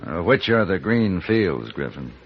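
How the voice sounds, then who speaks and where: A cool gunfighter for hire, low voice that moves around a lot, old radio western, terse and deliberate. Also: male voice, sing-song, heavily acted. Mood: dry, probing. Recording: muffled, worn old radio recording.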